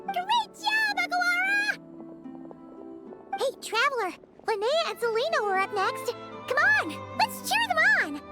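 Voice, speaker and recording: A tiny, excitable female fairy sidekick from a video game. The voice is extremely high, squeaky and childlike, leaping around in pitch with bubbly cheer. Loud playful music runs underneath. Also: measured pace, heavily acted. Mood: excited, cheering.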